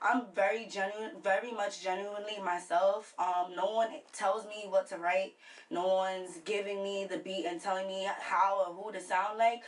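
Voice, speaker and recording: A woman's voice: mid-range voice, fairly even intonation, moderate pace, recorded from a distance, slight background noise, poor audio. A woman with a New York accent, speaking candidly and informally as a singer-songwriter guest in a casual radio interview.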